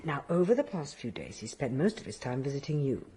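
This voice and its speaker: A woman's voice: extremely low pitch, very animated, a little fast, noisy background. An actress playing a practical aristocratic mother, gracious but firm and reproving, in a radio drama.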